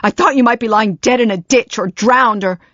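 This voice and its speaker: A woman's voice: a little high, moderate pace, fairly bright tone, no room sound, voice pushed up, natural voice. Furious frantic mum, a female narrator voicing her, lo-fi dull sound. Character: frantic mother, furious with fear.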